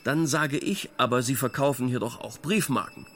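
A man's voice: medium pitch, normal speed, fairly bright tone, close to an everyday voice. Actor as a pompous, ironic male intellectual. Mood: wry, lecturing. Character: wry male intellectual theorising about modern absurdity. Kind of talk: conversational radio play dialogue.

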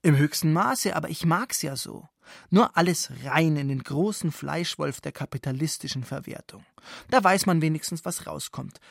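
A man with a fairly high, creaky voice in a dry, close studio sound. He presents satirical radio narration as a host, wry and ironic, tossing out rhetorical questions. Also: moderate pace, no echo.

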